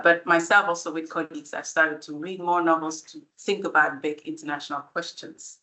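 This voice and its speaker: Bright-voiced woman thinking aloud. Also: sits low, poor audio. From Belgium. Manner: thinking aloud, rambling, with restarts. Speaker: international relations academic. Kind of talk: panel discussion at a book event.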